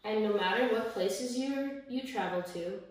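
A young woman reading a children's picture book aloud in measured, rhythmic verse, with the odd small stumble. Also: fairly high pitch. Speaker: story time reader. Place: small indoor room, seated by a window. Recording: echoey room, distant mic, faint noise.

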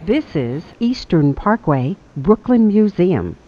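A transit announcer reading scripted subway train announcements in a formal, measured way. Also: medium pitch, sing-song, a little hiss or hum. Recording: dull, lo-fi audio.

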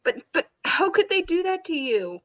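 A woman playing an earnest soft-hearted student. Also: very high voice, very animated, dull audio, voice pushed up. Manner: earnest, tearful, quick to sympathize.

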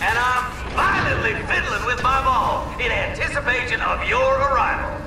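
An actor voicing a bombastic, profane older American military villain in a video game, theatrical and taunting. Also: male voice, very high-pitched, normal speed, distant mic, noisy background. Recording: echoing loudspeaker from afar, loud music.

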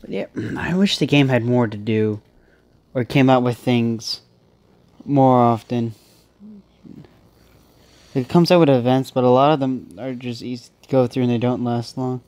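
A man's voice: mid-range voice, measured pace, long pauses, a little mellow, no echo. A young man with a stuffy nose giving rambling, casual commentary while playing a mobile game. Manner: rambling, thinking aloud with many restarts. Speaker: gaming channel host.

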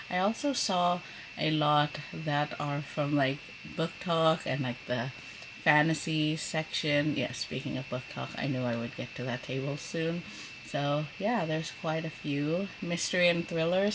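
A woman's voice: low voice, noisy background. A woman in her thirties chatting casually as a vlogger, in an informal, rambling way. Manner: chatty, informal, frequent use of "like". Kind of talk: casual chatty vlog talk.

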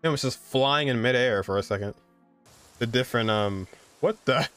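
A man's voice: mid-range voice, normal speed, a bit bright, smooth, faint music behind. A young man streaming casual game reactions in short, muttered exclamations. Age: young adult. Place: indoor room.

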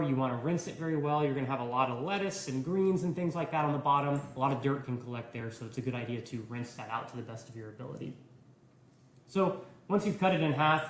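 A young man in his twenties giving a relaxed, step-by-step live cooking demonstration in a home kitchen, filmed by a camera at a distance. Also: fairly high pitch, reverberant. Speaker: cooking instructor.

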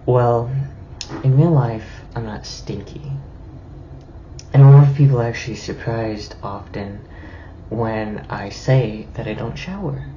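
A man's voice: medium pitch, unhurried, warm tone. A young man talking hesitantly with fillers into a phone held at arm's length in a small bedroom. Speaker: TikToker. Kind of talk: selfie-camera monologue. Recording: lo-fi phone audio with faint noise.

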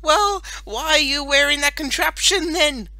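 A woman's voice: sits high, unhurried, pushed and bright, no room sound, voice pushed up, heavily acted. A woman voicing a horrid, teasing old man in a children's storybook. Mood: mocking. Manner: mocking, teasing.

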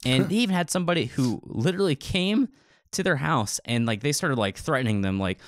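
Male podcast co-host, mid-pitched, informal and chatty storyteller, very dry recording. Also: lively intonation, a little fast, no room sound. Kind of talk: casual podcast chat.

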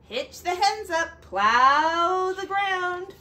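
A woman voicing a bossy male rooster who gives loud farm orders in a children's storybook. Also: very high-pitched, lively intonation, drawn-out words, voice pushed up, full character voice. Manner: bossy, commanding.

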